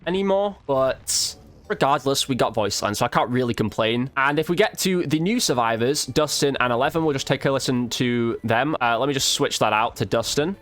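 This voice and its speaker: A man's voice: medium pitch, animated, fairly quick, a bit bright. Man, gaming video creator, casual commentary, informal slang, mid-range voice, thinking aloud.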